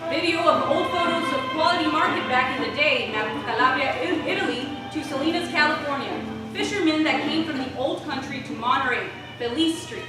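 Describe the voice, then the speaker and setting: A woman's voice: high voice, brisk, music in the background. A woman reading stage directions as scripted narration at a live play reading. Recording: echoey hall from far off, crowd.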